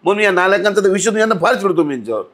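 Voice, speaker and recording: A man's voice: high-pitched, very animated, rapid-fire, fairly bright tone. A man talking as a podcast guest in a blunt, indignant, scolding way, with many rhetorical questions. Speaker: outspoken podcast guest commenting on local civic issues. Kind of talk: conversational podcast interview.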